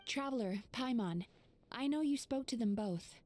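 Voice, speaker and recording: A woman's voice: fairly high pitch, expressive, moderate pace, a little mellow, natural voice. A woman playing a composed, caring young female dream therapist in a video game, articulate and explanatory. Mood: grave, concerned. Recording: music behind.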